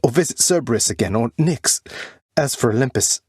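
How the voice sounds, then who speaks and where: A man playing an earnest, defiant young prince of the underworld in a video game, speaking in formal, old-fashioned wording with halting, heartfelt delivery. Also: medium pitch, expressive, darkish tone, breathy edge, dry recording, studio quality. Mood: urgent, hopeful. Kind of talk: video game character dialogue, dramatic acting.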